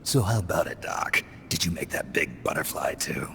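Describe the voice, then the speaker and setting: An actor playing a gruff, guarded male soldier in a video game, terse and muttering in a gravelly, breathy growl. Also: moderate pace, fairly bright tone, slight background noise, full character voice. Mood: evasive. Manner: terse, low, muttering questions. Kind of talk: video game cutscene acting. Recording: dramatic music behind the voice.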